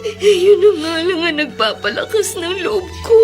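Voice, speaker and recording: A woman's voice: very high-pitched, big pitch swings, normal speed, faint music behind, heavily acted. An actress playing an ailing, tearful mother in a Filipino radio drama, speaking plaintively. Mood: tearful, resolute. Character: ailing, tearful mother home from working abroad.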